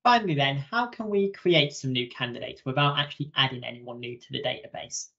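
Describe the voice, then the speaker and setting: A young woman giving an informal software tutorial as a webinar trainer. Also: very deep voice, a little fast. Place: indoors on a video call. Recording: dull video call audio.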